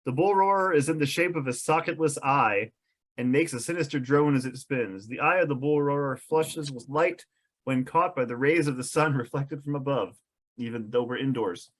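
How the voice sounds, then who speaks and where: A man serves as narrator at a script table read. He reads descriptive stage directions in a medium-pitched voice, with a touch of dry amusement. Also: moderate pace.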